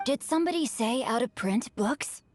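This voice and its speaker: Casting: woman as gallant bookish boy for a game, highish voice, mannered, scholarly, very polite. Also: expressive, normal speed, a little mellow, soft background music, lightly acted. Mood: courtly, eager. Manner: formal, flowery. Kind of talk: video game voice acting.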